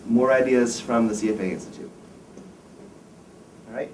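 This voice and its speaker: A man's voice: medium pitch, darkish tone, far from the mic. A man in his fifties giving a career lecture to students, blunt and opinionated, quizzing the audience. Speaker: wealth management firm president giving a career talk. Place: lecture room. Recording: echoey room, recorded from afar, faint noise.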